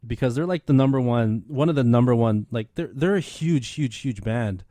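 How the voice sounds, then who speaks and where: Excited man hosting a radio show. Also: medium pitch, moderate pace, dry recording, studio quality. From Philippine heritage. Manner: enthusiastic, rambling with many restarts. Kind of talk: casual radio interview.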